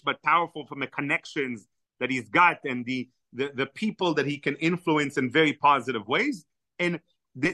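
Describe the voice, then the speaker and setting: Man, native Hebrew speaker, animated storyteller, forceful bright tone, dry close sound. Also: a little high, dry recording. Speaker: podcast guest. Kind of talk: storytelling in conversation.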